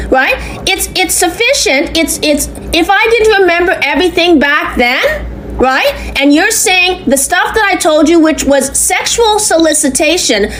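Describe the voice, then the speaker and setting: A woman with a loud voice making a complaint to police over the phone, insistent and full of restarts and fillers. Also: extremely high pitch, slight background noise. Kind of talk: heated phone conversation.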